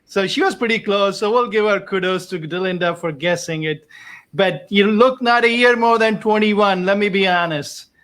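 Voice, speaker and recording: A man speaking as host of a casual interview show, in a halting, repetitive and encouraging way. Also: high voice, a bit bright. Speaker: host, doctor interviewing his patient. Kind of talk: casual online show chat.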